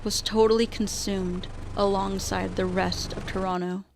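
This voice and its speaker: Woman narrating a radio drama, medium pitch. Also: level tone, unhurried, gravelly, a little mellow, a little hiss or hum.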